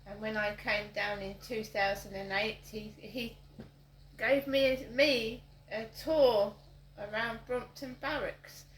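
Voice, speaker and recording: A middle-aged woman chatting casually in a vlog, meandering slowly with many ums and restarts. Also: fairly high pitch, fairly even intonation, reverberant, slight background noise, rough recording. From South East London. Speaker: vlogger. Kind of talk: casual rambling vlog monologue. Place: outdoors on a walk with a dog.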